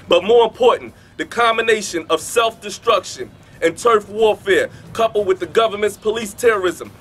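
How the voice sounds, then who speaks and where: A man's voice: high voice, a bit bright, slight background noise. A man speaking and reading emphatically to a gathering outdoors, in the declamatory manner of a preacher. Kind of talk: impassioned public address and declamatory reading. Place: outdoors in a park by a statue. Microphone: camera a few metres away.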